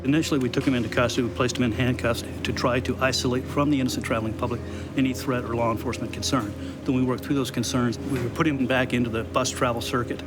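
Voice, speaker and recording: A border security supervisor, a man at middle pitch, walks through what happened in a quick, formal, official-sounding manner. Loud music plays underneath him. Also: steady tone. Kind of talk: interview explanation. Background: loud background music.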